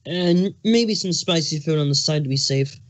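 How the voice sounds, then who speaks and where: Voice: medium pitch, moderate pace, close to an everyday voice. Someone doing a cranky pregnant cartoon bug king, male, exasperated and sweary, fanfic reading. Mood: flustered, explaining. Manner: ranting, exasperated, emphatic swearing. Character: hormonal pregnant male changeling king, cranky and foul-mouthed. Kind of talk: fan fiction dramatic reading, cartoon characters.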